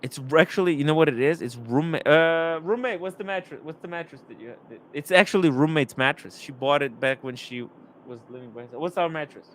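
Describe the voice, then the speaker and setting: A young man hosting a live stream, rambling and animated, reading chat comments aloud. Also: on the high side, fairly quick, slight background noise.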